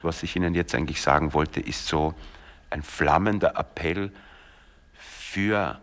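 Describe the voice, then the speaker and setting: A man with an extremely deep voice makes an earnest, measured statement. His voice carries a long, roomy reverb. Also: a bit bright. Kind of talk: interview statement.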